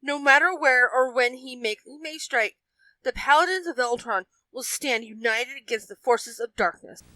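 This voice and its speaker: A woman's voice: high-pitched, normal speed, sharp tone, dry recording, poor audio. A woman voicing a steely, determined heroine rallying her team in a story. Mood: resolute.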